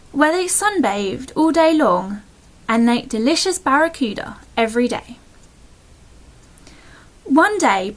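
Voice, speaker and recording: High-voiced woman telling a bedtime story.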